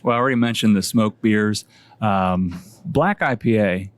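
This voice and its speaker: A man's voice: lowish voice, normal speed, dry recording. A man chatting casually as a guest homebrewer, rambling and self-deprecating, laughing at his own stories. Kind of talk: casual podcast chat.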